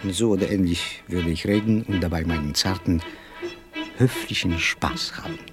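An actor playing an aging, nervous yet cheerful poet in an emphatic, courteous theatrical monologue. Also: male voice, sits low, moderate pace, over music, lightly acted. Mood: whimsical insistence. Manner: emphatic, mannered, courteous, circling repetitive self-talk. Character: aging, slightly nervous, whimsical poet with quirks, defiantly cheerful. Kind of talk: theatrical literary monologue with music.

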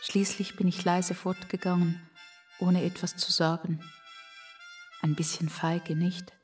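Woman as absent dad's letter voice in children's story: subdued, gentle, apologetic, a touch low. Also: little pitch movement, measured pace, recorded from a distance, faint music behind, lightly acted. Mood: tender, apologetic. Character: absent father writing a tender, apologetic letter. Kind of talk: read-aloud letter in children's audio story.

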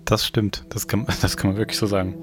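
A man hosts a podcast in a fairly low voice. He presents and reads aloud conversationally. Music plays clearly behind him. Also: flat delivery, brisk. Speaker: podcast host. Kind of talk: podcast presenting, reading aloud a listener's letter. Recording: music underneath.

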